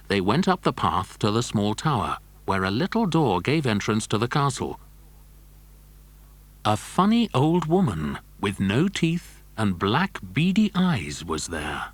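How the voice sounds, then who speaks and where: Male storyteller for children's audio drama. Lively scripted narration, mid-pitched, dry sound. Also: no echo.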